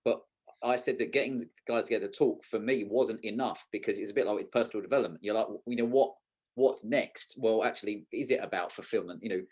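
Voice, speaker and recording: A man's voice: fairly low pitch, fast, a little mellow, husky. A man hosting a podcast in an informal, rambling, conversational way. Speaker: podcast host. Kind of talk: casual conversational podcast talk.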